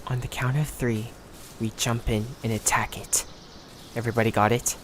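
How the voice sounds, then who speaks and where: A man's voice: medium pitch, little pitch movement, moderate pace, background noise, natural voice. A man voicing a bossy, arrogant young adventurer in an audio drama, sarcastic and scornful in delivery. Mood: commanding. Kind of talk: animated audio drama acting.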